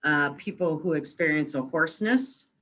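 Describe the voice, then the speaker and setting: A woman moderating a webinar question session, briskly recapping and rephrasing audience questions for the presenter. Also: deep voice, pushed and bright.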